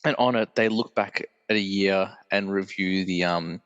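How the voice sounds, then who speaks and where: A man's voice: deep voice, steady tone, moderate pace, fairly bright tone, clean tone, big-room sound, lo-fi sound. A male podcast host with a congested, nasal voice, chatting casually and correcting himself as he rambles on. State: congested from illness. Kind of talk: casual solo podcast chat.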